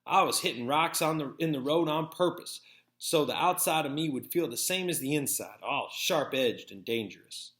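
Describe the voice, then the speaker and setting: A man reading a first-person story aloud as narrator, with an expressive, folksy storytelling manner. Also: fairly high pitch, normal speed. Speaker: read-aloud narrator, teacher. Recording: echoey, roomy sound.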